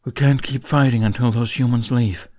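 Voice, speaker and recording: A man acts out a combative dragon companion from a fantasy audiobook. He is gruff and curt, snarling his lines like orders, loud and forceful against the narration. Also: medium pitch, steady tone, normal speed, lightly acted. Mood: urgent.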